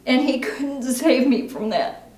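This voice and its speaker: A woman performing a dramatic monologue as a guilt-ridden recovering alcoholic mother, halting, sombre and confessional. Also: high voice, animated, a little mellow, voice pushed up. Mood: anguished, remorseful. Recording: roomy echo, faint noise.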